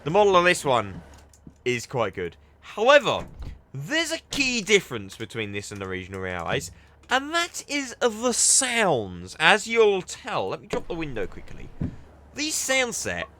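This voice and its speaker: Young guy rambling happily over gameplay, bright voice, pitch jumps around a lot. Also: wildly swooping pitch, normal speed, a little hiss or hum. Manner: chatty, enthusiastic, often restarts his sentences. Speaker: gaming video creator. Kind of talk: casual gameplay commentary.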